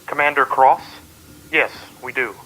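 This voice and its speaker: An actor playing a strained planetary survey radio operator in a science-fiction radio drama, clipped and urgent through a radio filter. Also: male voice, mid-range voice, measured pace, background noise. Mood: clipped, official. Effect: radio transmission filter.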